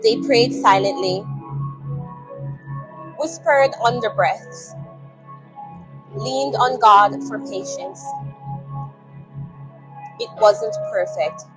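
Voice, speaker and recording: A woman narrating a scripted dramatic story in a solemn, measured manner, in short phrases. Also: fairly high pitch, over music, rough recording.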